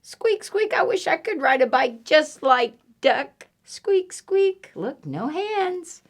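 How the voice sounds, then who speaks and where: A woman voicing a tiny, wistful, admiring mouse in a picture book, squeaky and eager. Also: sing-song, takes it slowly, heavily acted.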